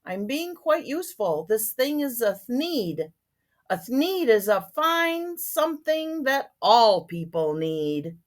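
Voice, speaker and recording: A woman's voice: fairly high pitch, big pitch swings, slow, voice pushed up. A woman reading the part of a greedy, brash male tradesman in a storybook, boastful and brusque. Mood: defensive, boastful.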